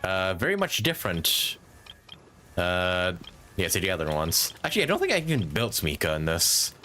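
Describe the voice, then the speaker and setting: A man's voice: deep voice, very animated, normal speed, pushed and bright, soft background music. A man doing casual, informal live commentary as he streams a video game. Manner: informal, swearing, chatty. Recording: faint noise behind.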